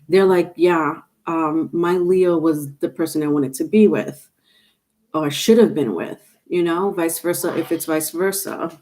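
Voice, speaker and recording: A woman's voice: lowish voice, darkish tone. A woman with a stuffed-up nose giving a live tarot reading on camera, rambling with many fillers and restarts. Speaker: tarot reader. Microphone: webcam or phone on camera.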